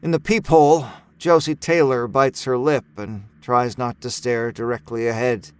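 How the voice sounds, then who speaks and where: Smooth-voiced man narrating dark fiction. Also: medium pitch, fairly even intonation, normal speed. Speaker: story narrator. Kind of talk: dramatic first-person horror audiobook narration. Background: music score.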